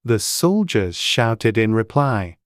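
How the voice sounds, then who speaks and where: Audiobook narrator: mid-pitched, crisp and smooth, scripted storybook reading in a dry, close recording. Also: big pitch swings, slow, no room sound.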